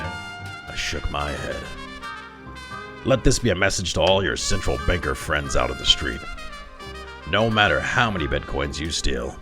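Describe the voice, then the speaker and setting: A man acting a zealous, self-righteous police detective, narrating a noir parody with deadpan, dramatic emphasis. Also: low-pitched. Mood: solemn. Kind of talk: hardboiled first-person noir parody narration. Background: music.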